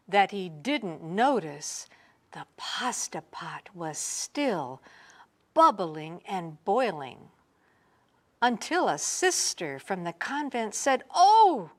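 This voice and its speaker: A woman reading a children's storybook aloud in an expressive, theatrical way, sometimes dropping to a hush. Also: lowish voice. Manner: expressive, theatrical, drawn-out phrasing with hushed drops. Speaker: storybook reader. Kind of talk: dramatic children's read-aloud narration.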